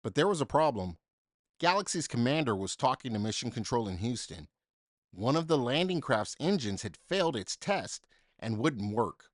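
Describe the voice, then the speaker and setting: A man reads a children's storybook with a lively, swooping delivery. His pitch sits in a middle range and moves widely, with deliberate, dramatic emphasis. The recording is dry and close. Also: no echo. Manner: dramatic, deliberate phrasing with emphasis for young listeners. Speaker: storybook reader. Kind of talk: expressive children's story read-aloud.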